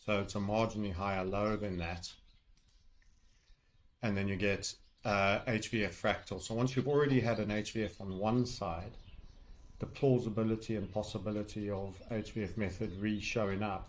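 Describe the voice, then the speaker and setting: A man's voice: lowish voice, steady tone, moderate pace. A man talking through technical analysis off the cuff as a trading educator, rambling and heavy on jargon with colourful asides. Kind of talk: unscripted technical chart commentary.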